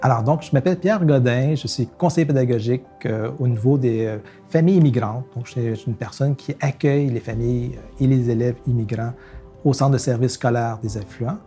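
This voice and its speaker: A man's voice: mid-range voice, a little fast, dark tone, soft background music. A man, an educational adviser explaining his role in an interview.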